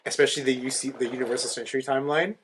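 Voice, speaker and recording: A man's voice: mid-range voice, fairly even intonation, rapid-fire, fairly bright tone, a little hiss or hum. A man co-hosting a podcast, explaining things casually like a knowledgeable fan in conversation. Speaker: podcast co-host. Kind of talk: casual fan chat about anime.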